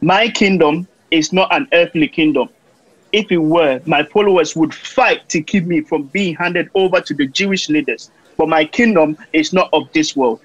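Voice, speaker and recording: An actor playing a calm, resolute holy man on trial in an audio play, measured and solemn. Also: a little high, dry recording, lo-fi sound, lightly acted. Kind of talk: dramatic audio play acting.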